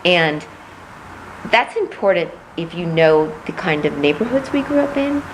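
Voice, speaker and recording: A middle-aged woman from Puerto Rico, raised in Missouri, answering interview questions as a political candidate, measured and reflective with frequent pauses. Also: fairly low pitch, very animated, background noise.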